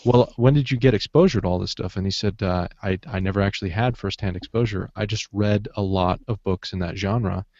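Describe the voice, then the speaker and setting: A man's voice: on the low side, fairly quick, hardly any pauses, a little mellow, dry recording. A man hosting a podcast, chatting casually as he moderates a discussion. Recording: dull, dry lo-fi podcast audio.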